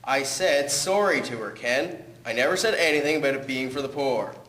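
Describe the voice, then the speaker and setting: A man's voice: mid-range voice, moderate pace, fairly bright tone, close to an everyday voice. A man playing a cheeky, reluctant teenage schoolboy in a 1920s radio play. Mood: sheepish. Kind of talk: scripted audio drama acting. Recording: echoey hall reverb with faint noise.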